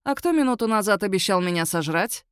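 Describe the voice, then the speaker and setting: A woman voicing a dry, unimpressed female magistrate, deadpan and stern with an ironic edge. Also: mid-range voice, brisk, clear, resonant tone, no echo, close to an everyday voice. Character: dry, unimpressed young woman magistrate of a werewolf-control unit. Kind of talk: audiobook character voice.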